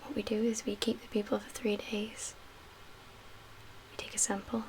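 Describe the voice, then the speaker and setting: A woman speaking in a hushed, breathy whisper with measured pauses, performing an ASMR roleplay as a calm, guarded survivor-camp medical official. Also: medium pitch, low-quality recording. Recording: audible background hiss and ambience.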